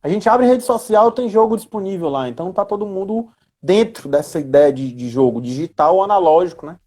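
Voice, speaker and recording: A Brazilian guest expert talks in a relaxed live discussion. The pitch is medium, and the delivery is didactic and emphatic, with key words stretched out for weight. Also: a little fast. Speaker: guest expert on role-playing games in education. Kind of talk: casual live-stream discussion, explanatory.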